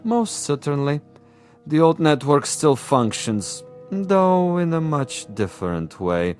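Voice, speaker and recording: An actor playing a cunning, theatrical commissar in a radio drama, suave, precise and condescending, with an imperious air. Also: male voice, fairly high pitch, big pitch swings, slow, darkish tone, faint music behind. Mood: gloating, musing. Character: cunning, theatrical commissar, a patient, gloating assassin.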